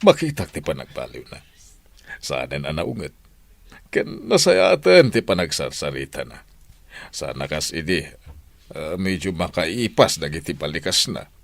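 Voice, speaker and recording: A man's voice: rumbling low, sing-song, a little slow, gravelly, a bit bright, dry recording, heavily acted. An actor playing a mild, easygoing older village father, chatting conversationally in an Ilocano radio drama. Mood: mild, reflective.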